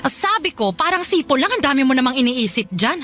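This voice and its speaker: A woman's voice: sits high, sing-song, quick pace, soft background music, full character voice. A woman playing a defensive, argumentative middle-aged mother who scolds and dismisses, in a Filipino radio drama. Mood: dismissive scolding. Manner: argumentative, sharp questioning retorts.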